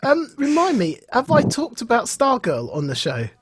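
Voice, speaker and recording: A man co-hosting a podcast in a casual, enthusiastic way, telling stories in an animated manner and acting out bits of dialogue. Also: very high-pitched, normal speed, background noise. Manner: animated storytelling, acts out reported dialogue. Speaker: podcast co-host.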